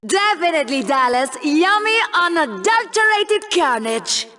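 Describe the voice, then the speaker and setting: A woman's voice: very high voice, sing-song, unhurried, sharp tone, exaggerated voice. A woman voicing a catty, bloodthirsty arena co-anchor in a video game, cutting and mock-sweet in her game show commentary. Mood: gleeful, mock-dramatic.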